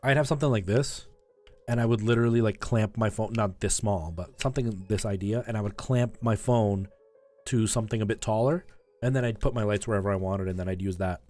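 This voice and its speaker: A man's voice: fairly low pitch, fairly quick, warm tone. Guy livestreaming a game, chatting loose and rambly with his viewers, some music playing under him. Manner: informal, rambling asides to viewers. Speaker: game streamer, host. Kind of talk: casual live stream chat while playing a retro game, giving tips to chat.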